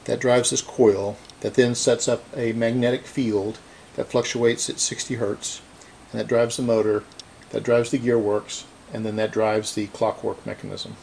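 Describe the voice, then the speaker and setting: A middle-aged man hosting a hands-on repair video, explaining casually and haltingly as he thinks aloud at an indoor workbench. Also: mid-range voice, flat delivery, vocal fry, a little mellow, slight background noise. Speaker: repair hobbyist host. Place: indoor cluttered electronics workbench.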